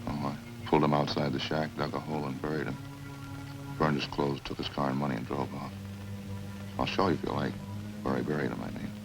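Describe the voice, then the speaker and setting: Very deep, gravelly remorseless killer. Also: male voice, poor audio. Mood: casual, remorseless. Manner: flat, casual, unhurried confessing. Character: matter-of-fact, remorseless male drifter confessing to killings. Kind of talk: old-time radio crime drama acting. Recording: old radio recording with music behind.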